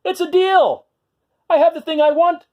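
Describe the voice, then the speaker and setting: A man's voice: very high voice, steady tone, normal speed, phone-quality sound, voice pushed up. A man voicing a whiny, emotional young male dinosaur in a children's storybook, pleading and exclaiming. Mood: elated.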